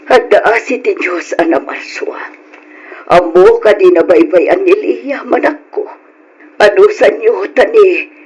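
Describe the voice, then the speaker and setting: An actress playing a worried, devout elderly grandmother in an Ilocano radio drama, with a husky voice and a Filipino accent. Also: medium pitch, a little slow, a little mellow. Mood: resigned, devout. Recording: dull lo-fi radio sound.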